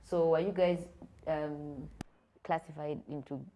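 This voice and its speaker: Woman host with a very low, mellow voice. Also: fairly even intonation, a little slow, slight background noise. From Kenya. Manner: chatty, asks follow-up questions. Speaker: podcast co-host. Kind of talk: casual podcast hosting.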